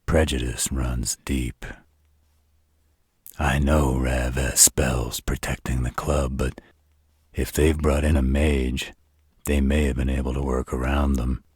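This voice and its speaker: Man performing a gruff magical enforcer, rock-bottom pitch, very breathy rasp, dry close sound, world-weary, protective, roleplay audio. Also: level tone, a little mellow, no echo, heavily acted. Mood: grave. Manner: gruff, deliberate, slangy. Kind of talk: roleplay audio drama addressed to the listener.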